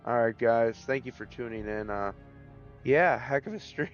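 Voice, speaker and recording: A man hosting a casual gaming stream, talking loosely and rambling, his voice hoarse and starting to crack. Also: mid-range voice, normal speed, muffled recording, faint music behind. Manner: loose, rambling, signs off with jokey farewells. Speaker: stream host.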